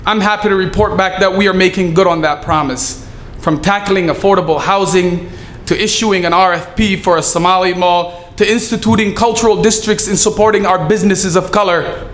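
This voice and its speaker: Man, higher pitch, earnest measured speech, echoey hall with light background hum. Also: fairly bright tone. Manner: earnest, measured delivery with some halting fillers. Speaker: city staffer giving a speech. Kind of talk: prepared public speech. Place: event hall. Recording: echoey room with faint ambience.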